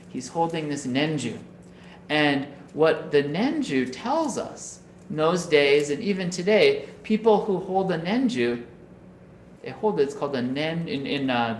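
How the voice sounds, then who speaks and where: Man from Minnesota giving a relaxed Dharma talk. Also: mid-range voice, reverberant, slight background noise. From Minnesota, USA. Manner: conversational, often adds "right?" to check with listeners. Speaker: Buddhist minister. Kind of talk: Dharma talk over video call. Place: home room on a video call. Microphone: video call.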